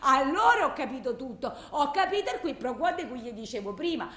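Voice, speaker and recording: An actress playing a bitter, distraught blind widow in a theatrical monologue, rambling plaintively in a colloquial Roman accent. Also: female voice, sits high, big pitch swings, brisk, fairly bright tone. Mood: bitter, agitated. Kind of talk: theatrical phone-message monologue. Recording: echoey room.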